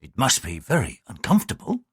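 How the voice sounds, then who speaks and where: A man's voice: medium pitch, wildly swooping pitch, a little fast, a bit bright, slightly rough, no room sound, studio quality, heavily acted. A man voicing a proud, short-tempered male samurai who speaks tersely.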